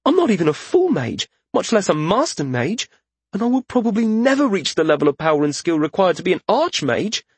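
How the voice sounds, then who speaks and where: A man's voice: high voice, big pitch swings, moderate pace, few pauses, warm tone, husky, no room sound, pitched above the performer's own voice, heavily acted. A man voicing an earnest, self-doubting young mage in an audiobook, with an indignant, pleading manner. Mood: flustered disbelief. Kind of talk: audiobook character voice.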